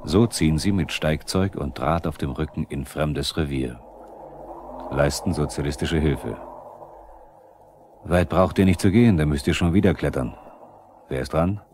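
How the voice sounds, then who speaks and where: An actor playing a probing narrator who addresses the characters directly, in a 1970s East German radio drama. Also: male voice, a bass voice, a little slow, long pauses, a little mellow. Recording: noisy 1970s vinyl radio play.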